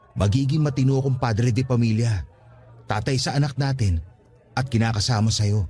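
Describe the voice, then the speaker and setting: A man's voice: medium pitch, warm tone, lightly acted. An actor with a Filipino accent playing a remorseful, estranged young father who earnestly begs for a second chance in a radio drama. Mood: pleading. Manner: earnest, imploring. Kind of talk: Tagalog radio drama acting. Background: faint background music.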